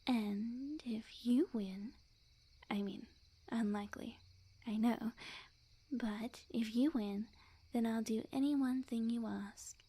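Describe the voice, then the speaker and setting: A woman playing a sweet, smug, possessive witch in love, in a soft, breathy ASMR roleplay addressed to the listener. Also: a little high. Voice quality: soft, breathy, often whispered. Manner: slow, coy, teasing, overconfident.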